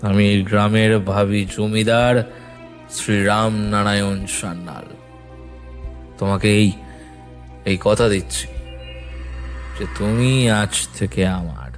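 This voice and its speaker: An actor playing a proud, besotted young landlord's heir in an audio drama, earnest and a little drunk. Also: male voice, fairly low pitch. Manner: slurred, earnest, grandly declaring. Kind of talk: dramatised horror audio story.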